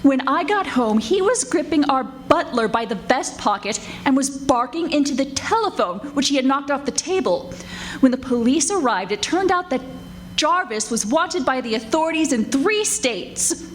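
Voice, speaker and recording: A woman's voice: high-pitched, lively intonation, normal speed. A woman playing a prim, genteel society lady with a formal, superior manner in an old-time radio comedy. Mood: smug. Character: prim, haughty society lady, politely one-upping. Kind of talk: 1940s radio comedy acting before a live audience. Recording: live stage recording with faint noise.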